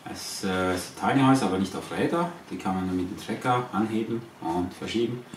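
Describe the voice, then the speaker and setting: A man from Switzerland with a Swiss accent, answering informal interview questions in a halting way, searching for words. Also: low-pitched. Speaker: interviewee, eco-farm owner. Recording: echoey, distant, noisy ambient recording.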